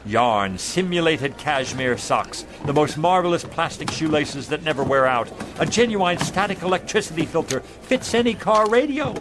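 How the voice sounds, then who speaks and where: An actor playing a down-on-his-luck street vendor, a persuasive pitchman with flowery salesman patter, in a radio drama. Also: animated, moderate pace, hardly any pauses, noisy background, natural voice. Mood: grand sales patter. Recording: music under the dialogue.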